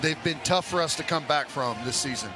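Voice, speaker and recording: A man's voice: on the high side, brisk. A man giving conversational football analysis on a radio broadcast, explaining plays in short, loose phrases. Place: stadium broadcast booth.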